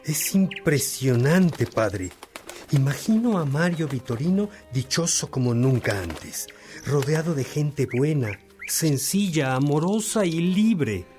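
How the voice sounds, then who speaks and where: An actor playing an earnest, searching man who respectfully learns from a priest, in an expressive, reflective radio drama style. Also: a little high, slow pace, soft background music. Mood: moved, longing. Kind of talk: Spanish-language radio drama acting.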